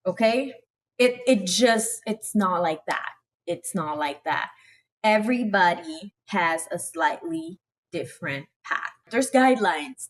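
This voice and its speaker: A young woman from Italy speaks English in a relaxed vlog. She is chatty and emphatic, often checking in with her viewers. Her voice sits in an ordinary middle register. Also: mid-range voice. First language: Italian. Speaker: professional dancer and choreographer. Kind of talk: casual talk to camera.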